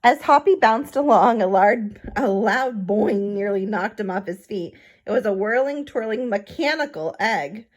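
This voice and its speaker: A woman's voice: medium pitch, lively intonation, a little slow, few pauses. A woman narrating a children's storybook, with casual asides that explain things to young listeners. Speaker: picture book read-aloud reader.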